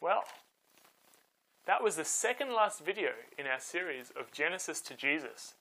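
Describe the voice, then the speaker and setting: Male children's storyteller, high voice, very animated intonation, dramatic emphasis, scripted narration. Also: normal speed, rough recording.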